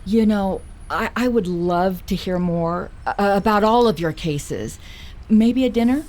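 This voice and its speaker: A woman acting as a playful, flirty newsstand owner in a radio drama, teasing and expressive. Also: mid-range voice, a little slow, lightly acted. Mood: earnest, caring.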